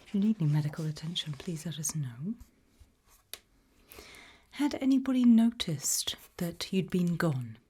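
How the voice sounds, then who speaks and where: A woman with a British accent performs an ASMR interview roleplay, mostly in a soft whisper. Her voice is low for a woman and very breathy. She puts her questions gently and in a measured way. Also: animated. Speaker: ASMR roleplayer as an abduction investigator.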